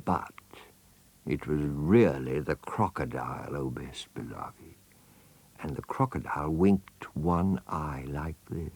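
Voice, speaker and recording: A man's voice: very deep voice, animated, slow pace, creaky, darkish tone, husky. A man narrating a children's storybook theatrically, savouring the words and addressing the listener directly. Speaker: audiobook narrator.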